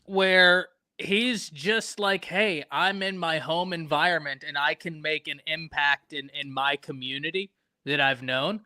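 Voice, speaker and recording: A man from Texas hosting a casual live-stream sports talk show, animated and talking to chat viewers by name. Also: fairly high pitch, a little slow, no echo.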